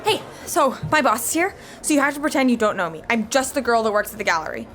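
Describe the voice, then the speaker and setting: A woman's voice: on the high side, big pitch swings, a crowd in the background, background noise. A woman playing a flustered young party worker. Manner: hurried, anxious.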